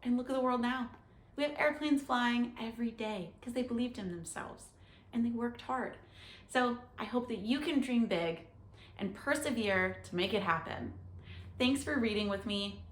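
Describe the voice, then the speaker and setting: A woman's voice: fairly high pitch, moderate pace, reverberant. A woman hosting a read-aloud for children, speaking to them directly and instructively. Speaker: storytime host and reader.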